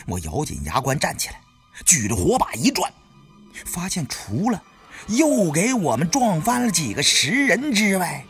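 A man narrating a suspense novel in the first person with expressive, dramatic storytelling. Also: sits high, moderate pace, faint music behind. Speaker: audiobook narrator.

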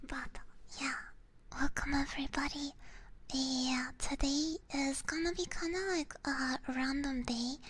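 A woman hosts a casual ASMR stream in a soft, mostly whispered voice. Her pitch is high and she talks slowly, close to the microphone. There is clear background noise behind her. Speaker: live stream host. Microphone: close binaural ASMR microphone.

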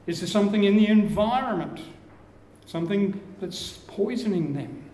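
An older man with an Australian accent preaching a sermon, slow and measured, his phrases falling away at the ends. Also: high voice, animated, mellow. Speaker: pastor. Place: church room with curtains. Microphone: lapel mic. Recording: echoey church room, faint background hiss.

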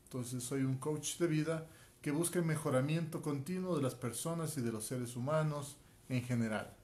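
A man's voice: on the high side, normal speed, a little mellow. Ecuadorian man, forties, earnest self-introduction, phone held at arm's length, small room at home. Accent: Ecuadorian Spanish from Quito. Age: 40s. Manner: earnest, addresses viewer directly, frequent "ok?" tags. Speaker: martial arts instructor and life coach. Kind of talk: direct-to-camera self introduction. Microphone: phone camera at arm's length.

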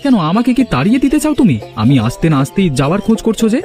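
A man's voice: high voice, big pitch swings, very fast, darkish tone. A man voicing a devoted, protective young son in a fairy-tale cartoon, questioning eagerly and offering reassurance. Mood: teasing, cheerful. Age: young adult. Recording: music behind the voice.

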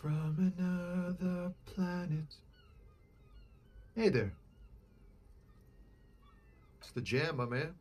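Theatrical laid-back poet trucker. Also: male voice, high-pitched, extremely slow, long pauses, darkish tone, slightly rough, full character voice. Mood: wry, theatrical. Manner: theatrical, drawling cadence, slangy. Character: laid-back poetic trucker, performative hipster with hidden sorrow. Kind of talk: video game dialogue acting.

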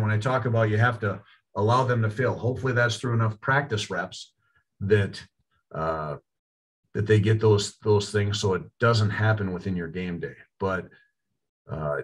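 A middle-aged man, a college football line coach, giving a conversational clinic talk over slides from a small room on a video call, often repeating words as he restarts. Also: lowish voice.

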